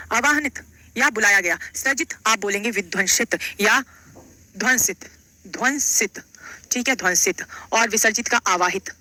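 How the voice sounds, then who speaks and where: Bright, sharp-voiced woman teaching. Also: on the high side, no echo. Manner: repeats phrases and prompts students with check-in questions; addresses students with casual endearments. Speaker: exam coaching teacher. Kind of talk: live online Hindi grammar lesson, question by question.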